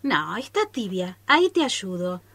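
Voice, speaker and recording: A woman's voice: mid-range voice, very animated, a little slow, fairly bright tone, dry recording, exaggerated voice. An Argentine woman acting a naive, eager accomplice to a reckless experimenter in a radio play, earnest and reassuring. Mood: earnest, coaxing.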